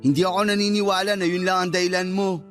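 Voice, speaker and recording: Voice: mid-range voice, flat delivery, fast talker, fairly bright tone, soft background music, lightly acted. A performer playing an outspoken, accusing younger brother arguing in a radio drama. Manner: blunt, argumentative. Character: outspoken, accusing younger son, a teenage sibling. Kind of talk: Tagalog radio drama acting.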